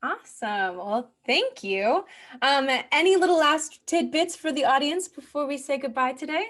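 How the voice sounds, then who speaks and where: A woman's voice: sits high, animated, moderate pace, fairly bright tone. A woman hosting a podcast in a casual interview style, supportive and encouraging toward the guest. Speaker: podcast host.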